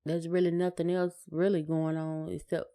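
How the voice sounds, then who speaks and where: A woman's voice: level tone, normal speed, dark tone. Laconic woman in casual chat. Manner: laconic, short low-key answers. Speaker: podcast co-host.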